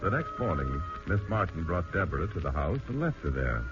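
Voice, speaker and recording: A man's voice: sits low, big pitch swings, fairly quick. A man acting as solemn narrator of a scripted vintage radio play. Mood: solemn, measured. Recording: muffled old radio broadcast with music.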